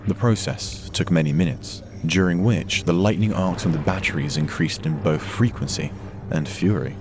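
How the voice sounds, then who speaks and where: A man narrating a dramatic science fiction story from a script in a measured, solemn way. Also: deep voice, noisy background. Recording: music behind the narration.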